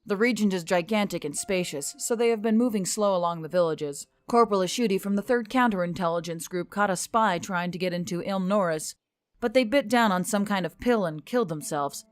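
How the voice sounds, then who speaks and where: A woman playing a female military officer giving a matter-of-fact, measured briefing in an audio story. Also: medium pitch, no echo, close to an everyday voice. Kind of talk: scripted audio story dialogue.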